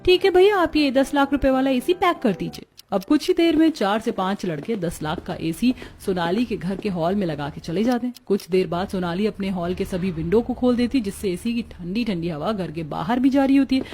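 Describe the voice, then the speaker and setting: मुलायम आवाज़ वाली महिला कथावाचक. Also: female voice, medium pitch, expressive, brisk, few pauses, mellow. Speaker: narrator. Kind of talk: scripted story narration.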